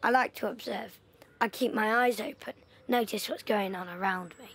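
A woman playing a sharp, observant young wartime boy, talking in a knowing, storytelling way in an audio drama. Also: mid-range voice, moderate pace, gravelly, husky. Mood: eager, knowing.

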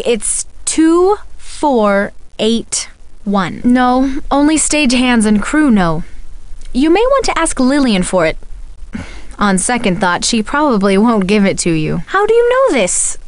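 A woman's voice: on the high side, no echo. A woman playing a friendly, emotional young soap actress in a video game, speaking earnestly.

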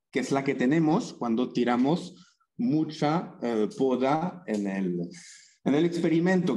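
French-accented man giving a halting talk. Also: mid-range voice, a little mellow. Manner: hesitant, many fillers and restarts, joking asides. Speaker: farmer presenting at a workshop. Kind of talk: informal presentation with slides.

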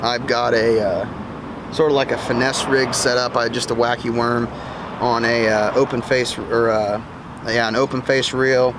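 A man's voice: mid-range voice, flat delivery, a little slow. A man in his thirties hosting a fishing channel, talking casually and enthusiastically to the camera outdoors by a park pond, with plenty of fillers and restarts. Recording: outdoor camera audio with clear background noise.

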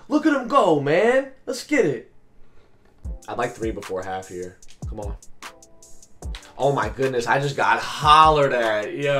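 A man in his twenties with a raspy, hoarse voice, giving live gameplay commentary as a coach in short, repeated, slangy phrases. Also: a little high. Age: 20s. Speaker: gaming video host and coach. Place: small bedroom, indoors.

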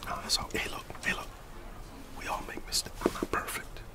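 A man's voice: brisk, far from the mic. A middle-aged American man whispering a casual, grumbling ASMR roleplay as a gossiping office employee, in a conspiratorial manner.

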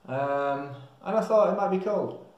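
A man's voice: high voice, expressive, unhurried, darkish tone, dull audio. Young Englishman in a very echoey room. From England. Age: young adult. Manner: hesitant, thinking aloud. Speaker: travel vlogger. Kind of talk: casual rambling chat to camera. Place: small tiled room indoors. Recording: very echoey small hard-walled room.

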